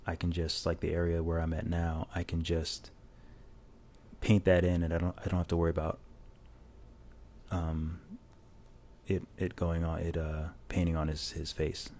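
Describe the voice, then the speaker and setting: A man narrating a drawing tutorial as a casual voice-over, talking in a relaxed, rambling way with many restarts, recorded on a lavalier mic plugged into a phone. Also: moderate pace, pauses often. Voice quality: low voice.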